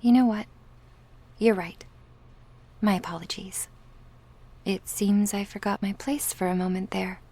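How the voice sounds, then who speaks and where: A woman playing a politely teasing, smug young recruit of a crime syndicate, soft and breathy in an ASMR roleplay. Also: mid-range voice, fairly even intonation, measured pace, pauses often. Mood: falsely meek.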